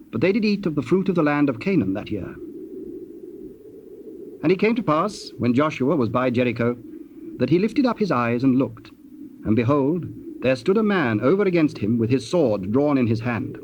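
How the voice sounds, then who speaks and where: A man narrating a dramatized scripture, formal and measured in his storytelling. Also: mid-range voice, sing-song. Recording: dull old cassette, faint noise.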